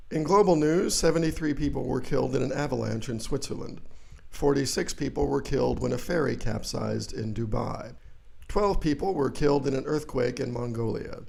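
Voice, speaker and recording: Self-serious anchor acted by a man for spoof news: gravelly, medium pitch, straight-faced and grave. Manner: deadpan, grave newsreader delivery. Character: earnest, self-serious male TV-style news reporter and anchor. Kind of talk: parody news broadcast.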